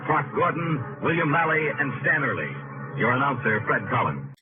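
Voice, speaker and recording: A male 1950s radio announcer with a lively, swooping delivery, formal and theatrical. Loud music plays behind him on a dull, band-limited old broadcast recording. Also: mid-range voice, normal speed.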